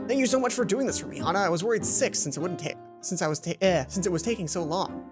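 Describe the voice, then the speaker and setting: A woman's voice: on the low side, fast talker, close to an everyday voice. A woman voicing a friendly bearded man in a video game, a worried robot caretaker who talks in a casual, hesitant, buddy-like way over music. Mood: relieved. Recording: music behind the voice.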